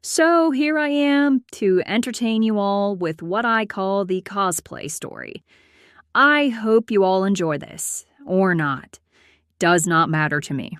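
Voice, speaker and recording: A woman playing a prim, aloof accountant in her late twenties who narrates her own story in a deadpan, formal way. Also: medium pitch, slow pace, clear, resonant tone, no echo, studio quality. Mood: reluctant, dry. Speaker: host and narrator of a character story series. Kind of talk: scripted first-person storytelling.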